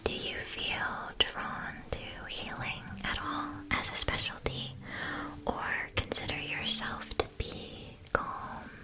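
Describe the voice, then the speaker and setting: A woman playing a gentle, attentive witch shopkeeper in a scripted ASMR roleplay, whispering soft, measured, soothing explanations. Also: natural voice. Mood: calm, attentive. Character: gentle, attentive witch school supply shopkeeper advising a student.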